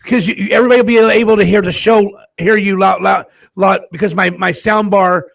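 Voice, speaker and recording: A man hosting a live stream, chatting loosely and loudly, coaxing his guests and repeating himself. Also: high voice, steady tone, fairly quick, gravelly. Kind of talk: casual live stream chat.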